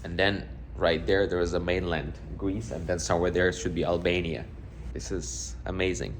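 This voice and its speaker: A man's voice: low voice, fairly even intonation, moderate pace, a little hiss or hum. A man with a Ukrainian accent, chatting casually through a vlog while working on a cruise ship. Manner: chatty, slightly broken English, upbeat. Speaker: assistant cruise director vlogging. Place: on a cruise ship, often on open deck. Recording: music playing behind the voice.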